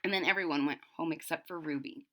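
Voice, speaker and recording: A woman's voice: low voice, fast talker, fairly bright tone, slight background noise. A woman reading a storybook aloud to young children, expressively, with simple explanatory asides. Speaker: storybook reader.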